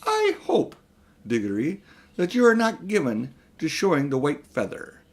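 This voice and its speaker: A man's voice: wildly swooping pitch, unhurried, exaggerated voice. A man voicing a haughty, manipulative older uncle who is a magician in a children's audiobook, speaking in a condescending, smug way. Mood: pompous. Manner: high and mighty, condescending, coolly smug. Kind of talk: children's audiobook character reading.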